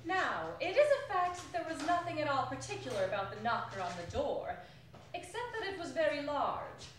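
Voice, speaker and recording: A distant recording with heavy echo and faint noise carries a woman narrator. She reads a classic tale theatrically in a fairly high voice. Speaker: stage narrator. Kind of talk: theatrical dramatic reading of a classic story. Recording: very echoey hall, distant mic, faint noise.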